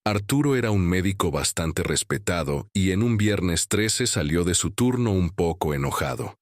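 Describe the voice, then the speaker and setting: Very deep-voiced male horror storyteller. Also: expressive, normal speed, no echo, studio quality.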